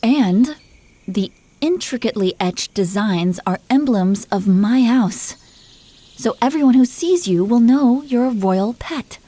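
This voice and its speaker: A woman's voice: a little high, animated, measured pace, warm tone. A woman playing an imperious, possessive dragon queen who teases her husband with condescending affection in an audio roleplay. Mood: possessive, smug. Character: haughty, teasing, possessive dragon queen and doting wife. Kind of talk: scripted roleplay monologue spoken to the listener.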